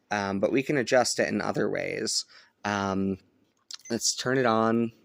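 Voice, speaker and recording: Man, gravelly voice, dry close sound, casual review style, halting, thinking aloud. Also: on the low side, a bit bright, no room sound. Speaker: host of a shortwave radio review channel. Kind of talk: casual hands-on product review. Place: indoors at home, upstairs room.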